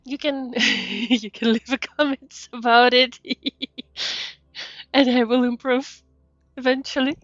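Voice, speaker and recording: A woman, a native Russian speaker with a Russian accent, giving casual, halting, encouraging commentary as she streams a game. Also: on the high side, fairly even intonation, a bit bright, no room sound, dull audio. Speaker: VTuber streamer. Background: faint game music.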